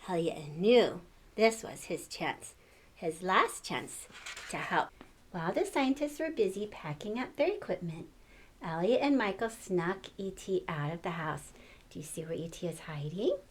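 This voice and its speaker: A woman's voice: fairly low pitch, expressive, measured pace, slight background noise. An older woman reading a storybook aloud to children, expressively, with exclamations and questions to the listener.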